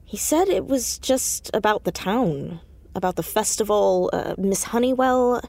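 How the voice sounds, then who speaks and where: A woman playing an anxious, earnest high school girl in an audio drama, hesitant but insistent as she talks. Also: expressive, a little slow, gravelly, a little mellow. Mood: thoughtful. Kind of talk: naturalistic audio drama acting.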